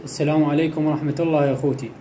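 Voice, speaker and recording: A male student from Iraq rattling off a loud, rapid self-introduction in a self-recorded video. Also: fairly high pitch, fairly even intonation, slight background noise. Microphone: phone at arm's length.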